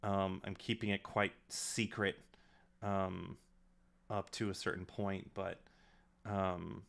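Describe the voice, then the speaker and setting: A man giving casual, rambling live commentary as a director and stream host, in a halting, reflective way. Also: deep voice, flat delivery, vocal fry, fairly bright tone.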